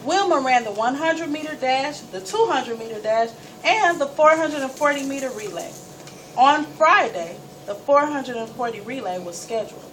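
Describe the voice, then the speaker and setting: A woman storyteller reading a first-person story aloud with dramatic, emphatic delivery and deliberate pauses. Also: high voice, lively intonation, fairly bright tone. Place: live event space, recorded from a distance. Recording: distant mic, noisy room ambience.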